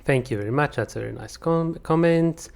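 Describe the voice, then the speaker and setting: A man's voice: a little high, a little fast, creaky, darkish tone. A man hosting his channel, reading out viewer comments and chatting about them casually, with lots of fillers and restarts; English is not his first language. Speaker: channel host.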